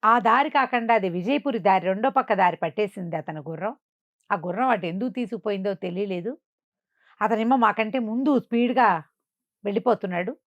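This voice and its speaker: A woman's voice: medium pitch, brisk, no echo, dull audio, natural voice. A woman storyteller voicing a helpless, deferential male attendant who explains apologetically and at length.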